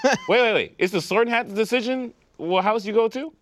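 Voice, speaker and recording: A man's voice: high voice, fast, fairly bright tone, no room sound. A man co-hosting a podcast in casual group banter, earnest and full of questions. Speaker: podcast co-host.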